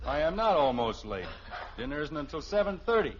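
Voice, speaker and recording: Exasperated middle-aged American suburban dad, fussy and sarcastic, highly animated delivery, muffled old radio sound. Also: male voice, fairly quick. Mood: stern, suspicious. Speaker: lead actor in a family sitcom. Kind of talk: 1950s radio sitcom acting. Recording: muffled, faintly hissy old radio recording.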